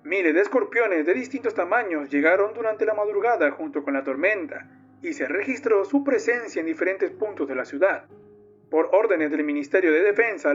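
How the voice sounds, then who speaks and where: A man's voice: high-pitched, slightly rough, highs cut off. A man playing a formal, solemn documentary narrator, reading an official report in a scripted analog horror video.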